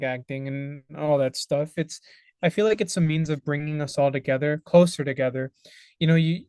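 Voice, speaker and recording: A man's voice: a little high, a little mellow, smooth, no echo, studio quality. A young man from the Chicago suburbs answering interview questions in loose talk full of "like", laughing often. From western suburbs of Chicago. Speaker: interview guest, filmmaker. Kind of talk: casual interview.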